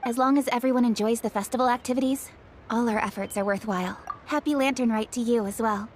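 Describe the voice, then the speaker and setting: A woman's voice: a little high, fairly even intonation, fast talker, a little mellow, slight background noise, natural voice. A woman playing a composed, diligent female official in a video game, courteous and formal. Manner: poised, formal.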